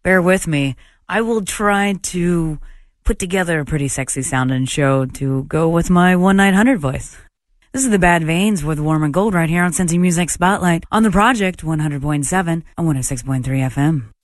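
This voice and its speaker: A radio DJ with a low, hoarse voice hosting a music show, joking easily about a worn-out voice. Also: moderate pace, no room sound, studio quality. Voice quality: low, husky voice. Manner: laid-back, self-deprecating patter. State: hoarse, strained voice. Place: radio studio. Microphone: studio microphone.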